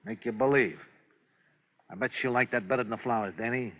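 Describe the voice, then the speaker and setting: A man's voice: medium pitch, brisk, natural voice. Sardonic male gambler, man acting, 1950s radio crime style, needling and sly, lo-fi vintage broadcast sound. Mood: mock sympathy. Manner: mocking, insinuating, wisecracking. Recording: dull old radio broadcast recording.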